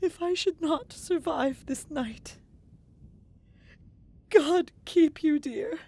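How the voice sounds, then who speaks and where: A woman playing a frightened, despairing young gentlewoman in an audio drama, moving between breathy whispers and tearful cries. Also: high voice, extremely slow, exaggerated voice. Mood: tearful resignation.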